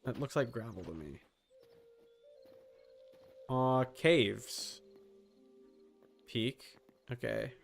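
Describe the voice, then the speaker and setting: A man streams a video game with music playing behind him. His commentary is casual and slangy, wandering as he thinks aloud, with swearing mixed in. His voice sits at an average male pitch. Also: animated, drawn-out words, creaky, pauses often. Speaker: livestream host. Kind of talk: casual gaming livestream commentary.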